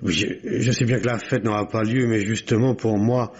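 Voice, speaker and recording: A male actor playing a flamboyant, high-strung private secretary, effusive and stammering, in a French radio mystery play. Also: steady tone, moderate pace, breathy edge. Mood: wistful, obsequious. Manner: effusive, dramatic, indignant outbursts, stammering. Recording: dull vintage radio recording.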